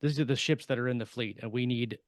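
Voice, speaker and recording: A man speaks casually as a podcast panelist, explaining as he thinks aloud. His pitch sits in the middle, and the sound is very dry and close. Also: fast, dry recording. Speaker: podcast panelist and sci-fi author.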